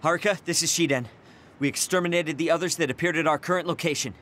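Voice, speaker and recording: An actor playing a proud, insecure young soldier in an anime video game, curt and defensive. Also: male voice, on the high side, fast, a little hiss or hum, close to an everyday voice. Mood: urgent, resolute. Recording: loud music under voice. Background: game soundtrack music.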